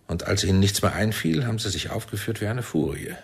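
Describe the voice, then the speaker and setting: An actor playing a commanding, sardonic older intelligence chief in a radio drama, probing and deliberate. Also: male voice, on the low side, expressive, creaky, husky, exaggerated voice. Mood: approving, controlled. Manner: deliberate, probing, swinging from soft to barking. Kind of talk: German radio drama acting.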